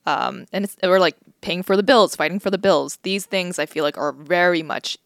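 A woman's voice: fairly low pitch, normal speed, no echo, studio quality. A woman hosting a podcast in casual, reflective chat, using lots of "like" and "um.". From United States. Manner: reflective, conversational, leans on "like" and "um". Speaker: podcast host.

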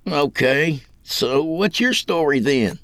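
A male narrator acts out a young jailed killer from an audio story. He is boyish, defiant and easily rattled, speaking in rural idiom. The voice jumps high and swoops around, recorded close and dry. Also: expressive, takes it slowly, fairly bright tone, no echo, full character voice. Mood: grudging. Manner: agitated, folksy rural speech.

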